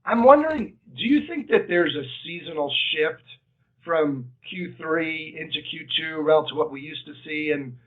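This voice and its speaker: A man asking questions as an analyst on a conference call, with informal, halting phrasing. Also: fairly high pitch, animated. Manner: informal, hesitant phrasing with frequent "like". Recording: narrow tinny phone line.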